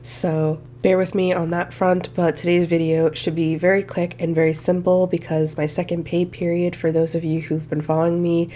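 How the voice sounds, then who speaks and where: A woman's voice: low-pitched, warm tone, a little hiss or hum. A young woman hosting a budgeting vlog, sounding a little nasal from congestion, talking through her numbers in a friendly, think-aloud way. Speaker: budgeting channel host. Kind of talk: casual budgeting walkthrough to camera.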